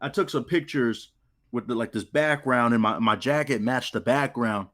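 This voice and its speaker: A man's voice: medium pitch, normal speed, a bit bright. A man co-hosting a live sports talk stream, teasing and laughing as he chats. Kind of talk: casual live-stream chat.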